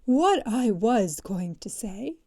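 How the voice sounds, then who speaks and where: Storybook dodo, played by a woman: solemn, wordy, pompous declaimer, quick to take offence. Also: a little high, big pitch swings, mellow, heavily acted. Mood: offended. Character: solemn, long-winded dodo, easily offended, fond of grand words. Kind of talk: storybook character voice.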